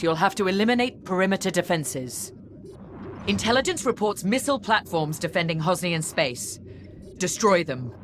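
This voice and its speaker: Low-voiced stern Imperial captain. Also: female voice, fairly bright tone, a little hiss or hum. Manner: formal, clipped, commanding. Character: stern, controlled female Imperial fleet captain, bitter and loyal. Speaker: military commander giving mission briefing. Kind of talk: cinematic video game military briefing. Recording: background orchestral game music.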